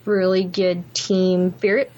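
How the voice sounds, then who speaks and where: A woman's voice: lowish voice, little pitch movement, slow pace, darkish tone. Casual, giggly woman podcast co-host. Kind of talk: casual film and book discussion.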